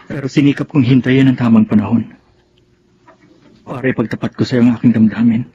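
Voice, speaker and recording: A man's voice: medium pitch, fairly even intonation, brisk, slight background noise, lightly acted. Casting: man to act sincere young Filipino leading man, earnest radio-drama delivery, mid-range voice, slightly muffled recording. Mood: tender, nervous. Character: sincere, devoted young male suitor.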